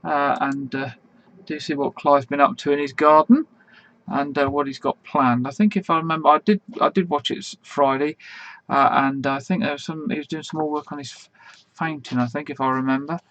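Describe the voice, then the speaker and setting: A man hosting an online quiz in a casual, rambling way, full of fillers, with a slightly croaky voice. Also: on the high side, fairly even intonation, normal speed, fairly bright tone. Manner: chatty, rambling, many fillers and restarts. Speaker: quiz host.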